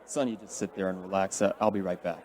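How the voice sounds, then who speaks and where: An actor playing a steady, folksy, plain-spoken small-town sheriff in a radio drama. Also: male voice, sits low, quick pace, some chatter behind, natural voice. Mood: pondering, authoritative.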